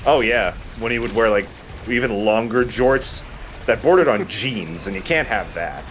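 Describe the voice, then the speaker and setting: A man's voice: on the low side, normal speed. A man, a young adult, hosting a Let's Play with casual, rambling, jokey game commentary. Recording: clear steady background noise.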